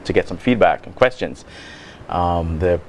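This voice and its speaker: A Jamaican man talks in a soft, measured way, pausing often on ums. His voice sits low. A faint hiss runs under the recording. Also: animated, rough recording. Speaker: guest, lodge member. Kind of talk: casual interview.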